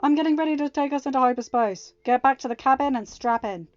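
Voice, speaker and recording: A woman voicing a confident, commanding male Jedi pilot, speaking firmly and loudly. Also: high voice, quick pace, dry recording, lo-fi sound, pitched above the performer's own voice, close to an everyday voice.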